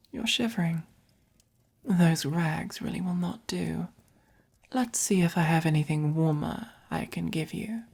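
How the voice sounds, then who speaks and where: A woman voicing a gentle, possessive, doting vampire in a soft-spoken ASMR roleplay, with an English accent and breathy, whispery, coaxing delivery. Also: on the low side, heavily acted. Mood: doting, attentive. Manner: slow, coaxing, gently commanding. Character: gentle, possessive, doting dominant vampire keeping a human pet.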